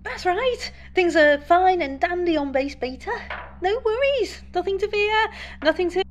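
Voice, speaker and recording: Fussy moonbase cleaner, sci-fi audio drama: high, chirpy, stammering, very lively intonation. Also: female voice, unhurried, slight background noise. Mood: nervous cheer. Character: fussy, nervously cheerful moonbase cleaner woman.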